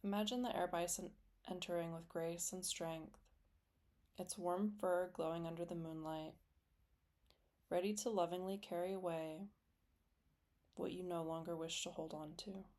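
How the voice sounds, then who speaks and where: Female meditation guide, lower-pitched voice, gentle and unhurried scripted delivery, soothing, with long pauses. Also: fairly even intonation.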